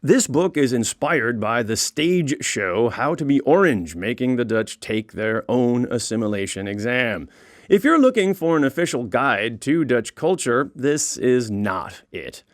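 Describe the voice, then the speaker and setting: A man's voice: mid-range voice, very animated, a little slow, no room sound, studio quality. A man with an American accent reading scripted comic narration as the author of an audiobook, wry and theatrical in his storytelling. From United States. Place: recording studio.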